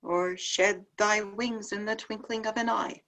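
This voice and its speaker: A woman acts out an angel torn by doubt, speaking at a middle pitch in a woman's voice. The manner is anxious and questioning, full of fear, as in a religious stage play. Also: steady tone, a little slow, fairly bright tone. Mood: doubtful. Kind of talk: table-read stage acting of religious drama.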